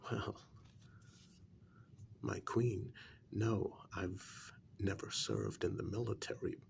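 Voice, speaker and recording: A man playing a calm, blunt human familiar to a vampire queen in a soft, half-whispered ASMR role-play, speaking formally and deliberately. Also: on the low side, gravelly, a little hiss or hum, exaggerated voice.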